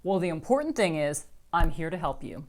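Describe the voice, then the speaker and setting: A woman's voice: deep voice, sing-song, a little fast, a little mellow. A woman voicing a small, confused little man from the woods who calls himself a fairy godmother, eager and a bit unsure.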